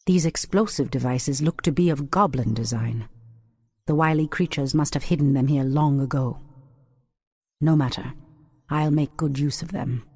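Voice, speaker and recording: An actress playing a proud, determined elf priestess and warrior in a video game, speaking in an earnest, regal, formal manner. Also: female voice, low voice, normal speed, a little mellow, breathy edge, no room sound, clean studio sound. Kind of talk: dramatic video game acting.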